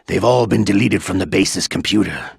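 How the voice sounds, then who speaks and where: Sly game villain: deep, very gravelly, slow-paced, obsequious toward his master. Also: dry recording, studio quality, exaggerated voice. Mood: smug, deferential. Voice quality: gravelly, husky drawl. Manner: measured, obsequious, insinuating. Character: sly older male double agent, smugly deferential to his master. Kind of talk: video game voice acting, one side of a phone report.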